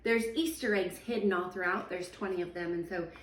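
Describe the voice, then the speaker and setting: A woman, a teacher in her thirties, reading a children's picture book aloud at home in a sing-song, expressive way. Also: on the low side, fairly quick. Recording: echoey room, voice a little far from the mic.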